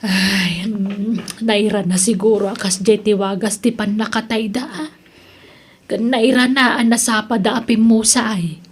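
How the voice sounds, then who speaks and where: A woman playing a devout, gentle older mother in an Ilocano audio drama, with a solemn, counselling manner. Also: medium pitch, fairly even intonation, a little slow, breathy edge. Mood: concerned. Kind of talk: Ilocano radio-style drama acting. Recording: music under the voice.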